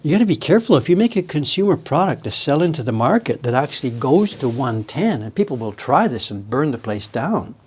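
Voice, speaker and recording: A man's voice: mid-range voice, big pitch swings, brisk, few pauses, darkish tone, breathy edge. Chatty man in his seventies. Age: 70s. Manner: rambling, chatty, breaks into amused laughter. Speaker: live-stream host. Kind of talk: casual chat while demonstrating workshop tools.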